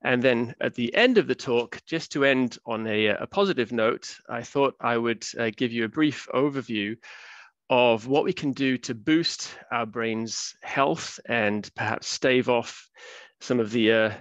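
A man from Wales in his thirties giving a relaxed science talk on a video call, with many fillers. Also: medium pitch, unhurried, dry recording. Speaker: research fellow giving a public talk. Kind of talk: academic webinar lecture. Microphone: computer mic on video call. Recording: dull, dry webinar audio.

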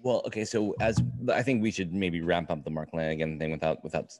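A man in his forties with a deep, low voice in a dry-sounding room. He talks like a podcast co-host in casual conversation, circling his thoughts, stopping and starting, and cursing freely. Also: a little mellow, no room sound, faint music behind. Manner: rambling, halting, profane. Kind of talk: casual book review chat. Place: indoor room, remote video call.

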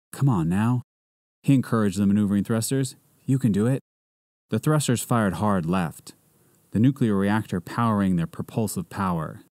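Man, scripted audiobook-style narration, dark mellow tone, very dry close recording. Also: lowish voice, dry recording. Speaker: audiobook narrator and voice-over artist.

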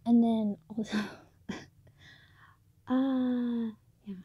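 A teenage woman talking softly late at night in her bedroom, halting with many fillers, recorded on a built-in mic. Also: on the high side, slightly rough, no room sound. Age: late teens. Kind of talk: late-night personal vlog. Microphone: phone or camera built-in mic, close. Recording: dull lo-fi built-in mic, dry.

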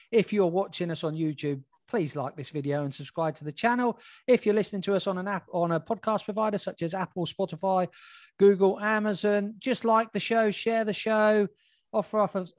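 A podcast host in his thirties, a man with a high voice for a man, talks in a brisk, upbeat presenter's way. He sits at a desk microphone in his kitchen at home. The sound is dry and close. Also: no echo. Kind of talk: podcast host introduction and interview. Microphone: desk microphone, webcam framing.

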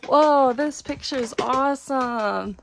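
Woman, bright high voice, eager visitor, lots of oohs and wows. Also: slow pace, creaky, noisy background. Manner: gushing, exclamatory. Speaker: visitor on the tour. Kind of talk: enthusiastic casual chat.

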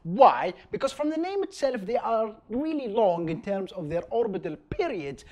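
A man in his thirties from Bahrain with an Arabic accent, a native Arabic speaker, explaining science to camera in an emphatic, animated way. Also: sits high, normal speed, highs cut off. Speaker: science video host.

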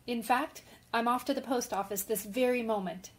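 A woman's voice: fairly high pitch, moderate pace, natural voice. A woman playing an earnest, impulsive young woman writer in an old-time radio drama. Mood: firm, restrained.

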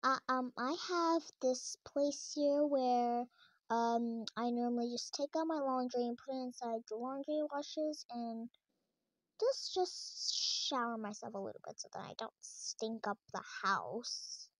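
A woman's voice: a little high, measured pace, no echo, rough recording. A young teenage girl giving a rambling, casual tour of her game house while filming a tablet screen, with lots of fillers and restarts.

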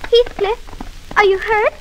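A little girl with a high voice. She is coaxing, fanciful and devoted, in the manner of 1940s radio drama. Faint noise sits on the old recording. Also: steady tone, slow pace, low-quality recording. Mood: coaxing, earnest.

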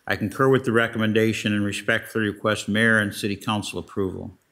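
A man, the city manager, reading a formal recommendation in a measured way in a council chamber. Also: fairly low pitch, steady tone, a bit bright. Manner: formal, measured, reads from text.

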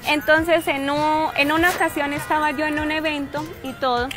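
Young woman, Santander Colombian accent, high-pitched, punchy emphatic delivery, outdoors, faint music underneath. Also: flat delivery, normal speed. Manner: forceful, emphatic delivery with frequent "¿sí?" tags. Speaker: interviewee. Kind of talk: street interview anecdotes. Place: outdoor park with trees.

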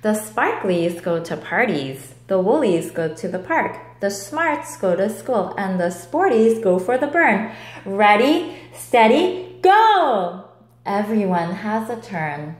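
A woman reading a children's picture book aloud in an expressive, deliberate way, in an echoey room. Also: medium pitch. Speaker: storyteller. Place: indoors at a table, echoey room.

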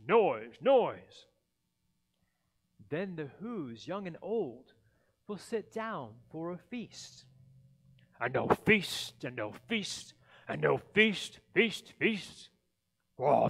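A man's voice: fairly high pitch, vocal fry, a bit bright, slightly rough, exaggerated voice. A man voicing a sour, scheming creature who hates Christmas, snarling and emphatic as in a storybook reading. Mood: disgusted. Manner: snarling, growling, emphatic repetition. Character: sour, hateful, scheming grumpy male Christmas-hating creature.

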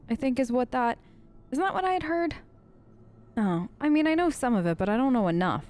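A woman's voice: a little high, darkish tone, a little hiss or hum. A woman hosting a let's play, improvising in-character replies and musing commentary in a conversational way.

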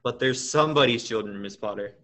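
A man's voice: mid-range voice, a little fast, pushed and bright, natural voice. A young man playing a tired, principled, kindly father in a radio play. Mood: pleading. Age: young adult.

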